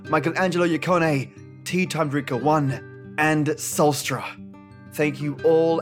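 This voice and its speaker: A male podcast host with a slightly high voice reads his introduction over soft guitar music. His delivery is measured and earnest, with formal phrasing. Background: plucked guitar music.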